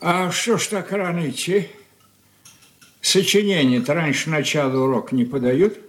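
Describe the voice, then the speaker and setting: An actor playing a gruff, domineering older merchant father in a radio drama, blunt and scolding. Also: fairly high pitch, normal speed, fairly bright tone, slight background noise, natural voice. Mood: stern, paternal. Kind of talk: Soviet radio drama stage acting. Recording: roomy echoing studio radio-play recording.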